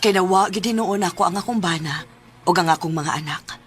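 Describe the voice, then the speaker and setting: A woman's voice: on the low side, brisk, close to an everyday voice. An actress playing a remorseful, middle-aged married woman in an emotional, pleading radio drama style. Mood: regretful.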